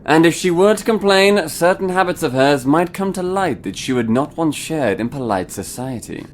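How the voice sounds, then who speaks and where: An actor playing a pompous, possessive upper-class judge in a period drama, formal and condescending. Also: male voice, fairly high pitch, expressive, normal speed, a little hiss or hum, lightly acted. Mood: cold, insistent. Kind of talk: theatrical period audio drama acting.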